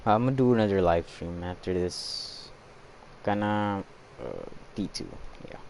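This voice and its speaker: Young male streamer, lowish creaky voice, unhurried and rambling casual game commentary. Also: darkish tone, a little hiss or hum. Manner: rambling, hesitant, trailing off.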